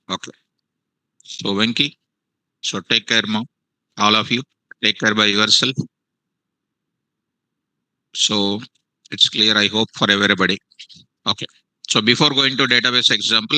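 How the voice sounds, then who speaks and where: A male programming teacher, giving a live lecture to students in a classroom and online, repeating phrases slowly for dictation. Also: lowish voice, bright, forceful tone, dry recording, low-quality recording. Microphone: online meeting.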